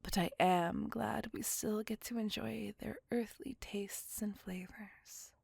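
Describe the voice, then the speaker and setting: Woman acting a breathy, whispering, lovelorn lady vampire. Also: medium pitch, fairly even intonation, a little mellow, dry recording, heavily acted. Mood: gracious, gentle. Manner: slow, formal, tender and wistful. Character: lovelorn, courteous, melancholy female vampire on a date. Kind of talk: ASMR roleplay, intimate monologue.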